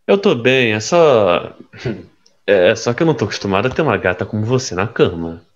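Brash young playboy, lively pitch. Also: male voice, normal speed, lo-fi sound. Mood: uneasy. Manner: cocky, slangy, teasing. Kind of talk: audio drama acting.

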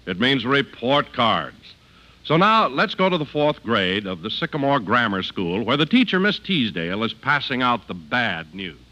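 A man's voice: mid-range voice, big pitch swings, normal speed, sharp tone, rough recording. A man announcing in polished, emphatic 1950s radio style, reading scripted copy.

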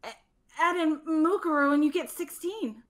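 Casting: woman as anxious bookworm schoolgirl, high voice, stuttering, gloomy game character. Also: animated, moderate pace, fairly bright tone. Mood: nervous. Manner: stammering, anxious. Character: nervous, gloomy bookish teenage girl. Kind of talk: amateur visual novel voice dub.

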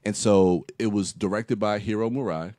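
A man's voice: lowish voice, steady tone, moderate pace, warm tone, no echo, clean studio sound. A man on a podcast giving a rambling, casual film review full of fillers and restarts, with a slight cough as he gets over a cold. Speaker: podcast co-host.

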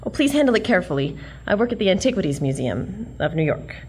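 Woman playing a plucky, animated young heroine. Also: lowish voice, fast, a little hiss or hum, poor audio. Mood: polite exasperation. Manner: perky, sassy. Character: plucky, wisecracking young female museum worker heroine. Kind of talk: comic radio drama acting.

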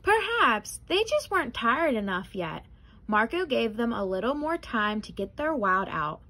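A young adult woman reading a children's picture book aloud in an expressive, careful way. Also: on the high side, measured pace. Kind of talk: children's storybook read-aloud.

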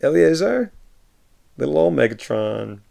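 A man in his thirties from the United States, a livestreamer in a room at home, reading viewer messages aloud and answering warmly through a webcam microphone. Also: on the high side, very animated, a little slow, creaky. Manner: reads viewer messages aloud and replies warmly, often laughing. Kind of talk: casual chat. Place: home room with shelves of films.

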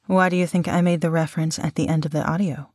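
A woman acting a playful literary sweetheart in an intimate audio drama, with a husky voice. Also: sits low, fairly even intonation, fast, a little mellow, no echo, clean studio sound. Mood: playful, teasing. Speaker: voice actor reading love letters.

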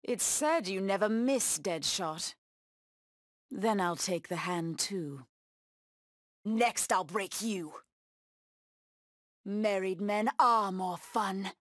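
A bloodthirsty cat lady villain from a fighting game, proud n taunting, really slow menacing lines, super dry sound. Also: mid-range voice, a bit bright, dry recording. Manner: menacing, taunting, deliberate delivery. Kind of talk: video game character battle taunts.

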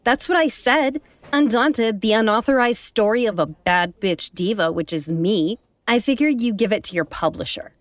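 An actress playing a brash, self-important aspiring author in a comedy audio drama, bossy and pushy. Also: female voice, fairly high pitch, expressive, moderate pace, no room sound, close to an everyday voice.

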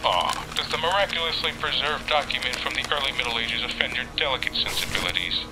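An actor playing a dry, sarcastic older mentor in a fan audio drama. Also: male voice, medium pitch, fast talker, natural voice, poor audio. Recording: loud background music.

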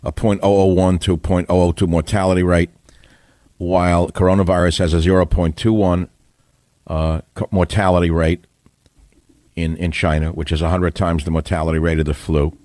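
A man hosting a political talk radio show, forceful and rambling, talking emphatically. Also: deep voice, little pitch movement, moderate pace, no echo. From New York.

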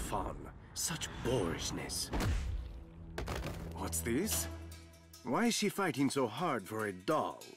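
An actor playing a vain, sadistic masked assassin in a video game, speaking in a theatrical, languid, disdainful way. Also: male voice, medium pitch. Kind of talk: video game cutscene acting. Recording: loud game music behind.

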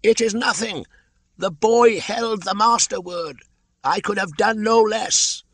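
A man voicing a male kite bird in a storybook reading, calling out in a strained falsetto. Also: slow, no echo, exaggerated voice. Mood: excited calling. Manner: calls out loudly in a strained falsetto. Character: shrill high-flying male kite bird, eager herald. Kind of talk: audiobook character voice.

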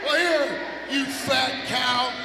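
A man giving a belligerent, insulting wrestling promo as a villain, shouting out his taunts. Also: very high-pitched, steady tone, slow pace, vocal fry. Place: indoor wrestling arena. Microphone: handheld through PA. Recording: echoey hall PA, crowd noise.

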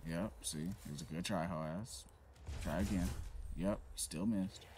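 A man's voice: sits low, a little slow. A man giving casual, profane gameplay commentary, griping in exasperation as he plays a shooter. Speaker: gaming video creator playing a shooter. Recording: clear game noise behind the voice. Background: shooter game sounds.

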